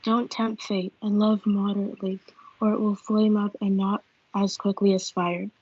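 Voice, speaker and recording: A woman's voice: mid-range voice, flat delivery, normal speed, husky, no echo, close to an everyday voice. A young woman reading a cautious, fatherly friar in amateur reader's theatre. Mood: cautioning. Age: teenager. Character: cautious fatherly male friar giving counsel. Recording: dull lo-fi audio.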